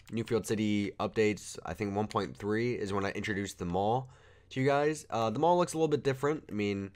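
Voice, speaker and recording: A young man with a cold, giving casual, rambling commentary while reviewing a game texture pack at home. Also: on the low side. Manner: rambling, filler-heavy, easygoing. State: has a cold.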